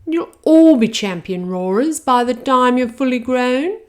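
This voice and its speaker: A woman voicing a bossy female dragon schoolteacher who gives orders in a loud, high voice. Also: expressive, unhurried. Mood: commanding.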